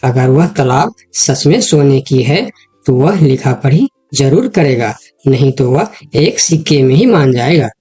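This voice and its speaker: A man's voice: medium pitch, animated, unhurried, dark tone, slightly rough, faint music behind. A man voicing a sensible, protective deer in a cartoon moral story, speaking in a concerned, advising way. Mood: firm, advising. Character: sensible, protective male deer, loyal friend.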